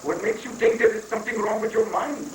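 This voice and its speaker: A man's voice: high-pitched, fast talker, close to an everyday voice. A man playing a glib, unruffled guru who hands out mock-wise reassurance in an amateur reading of a stage satire. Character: guru counselling troubled government officials, unruffled and glib. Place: room at a live library reading. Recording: distant, echoey room recording with faint noise.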